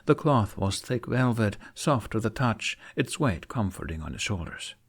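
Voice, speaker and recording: A man reading a scripted fantasy story aloud in an expressive audiobook style. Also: lowish voice, moderate pace, breathy edge. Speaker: fan fiction narrator.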